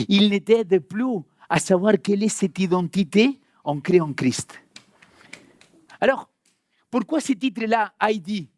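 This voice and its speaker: A man's voice: high voice, darkish tone, no room sound, studio quality. A man from Argentina with a light Argentine accent, preaching a sermon and talking directly to his congregation in a friendly, conversational way. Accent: Argentine Spanish. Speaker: pastor. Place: church hall.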